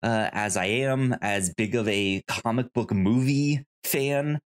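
A podcast co-host speaks in a relaxed, conversational review style. The voice sits at a medium pitch, and sentences come haltingly, with words repeated and restarted. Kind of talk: casual review chat.

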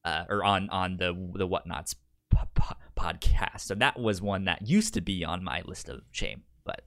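A man co-hosting a podcast, chatting casually about films in a halting way, with a pronounced stutter that repeats sounds and syllables. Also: deep voice, fairly bright tone. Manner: halting, hesitant delivery. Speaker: podcast co-host. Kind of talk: casual film discussion.

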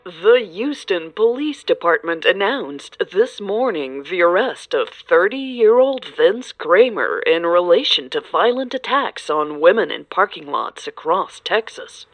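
A woman's voice: fairly low pitch, lively intonation, a little slow, few pauses, no room sound, low-quality recording. A woman playing a serious, formal news reporter reading a scripted report in an audio drama. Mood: grave, formal. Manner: formal, grave.

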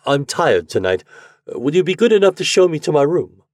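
A man's voice: fairly high pitch, sing-song, normal speed, dry recording, clean studio sound. A man voicing a male secretary in an old horror story, formally polite and determined though uneasy.

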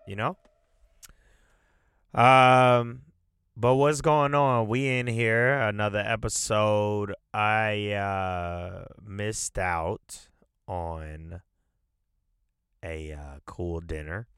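A man with a stuffed-up nose hosts a podcast on his own, chatting loosely, filling the gaps with "um" and "uh," and cursing freely. He talks at an unhurried pace in a smooth, resonant voice. His voice is recorded close and dry, with no room sound. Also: on the low side, fairly even intonation, a bit bright, no room sound. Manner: loose, profane, rambling with fillers. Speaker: podcast host. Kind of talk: casual solo podcast chat.

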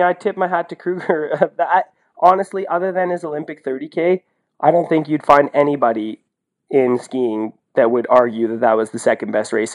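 A Canadian man giving casual, opinionated sports analysis in an animated, colloquial way, as a podcast co-host. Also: medium pitch. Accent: Canadian English. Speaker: podcast co-host and ski commentator.

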